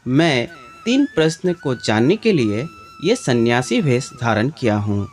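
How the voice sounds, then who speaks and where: Wise male monk, read by a man, storybook tale, calm preaching manner, expressive melody, music underneath. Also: medium pitch, unhurried. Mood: calm, teaching. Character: serene wise male monk teacher, calmly instructive. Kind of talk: storybook narration dialogue.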